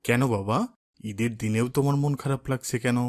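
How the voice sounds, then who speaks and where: A man playing a pious, kindly father in a cartoon, gentle and instructive with his son. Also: medium pitch, fairly quick, dry recording, close to an everyday voice. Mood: gently instructive. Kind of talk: cartoon drama voice acting.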